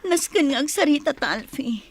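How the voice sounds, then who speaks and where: Radio drama casting: distressed young Filipino woman, high voice, tearful and pleading, dry studio sound. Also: lively intonation, fairly quick, dry recording. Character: distressed young woman pleading over her gambling-addicted fiancé. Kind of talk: Philippine radio drama acting.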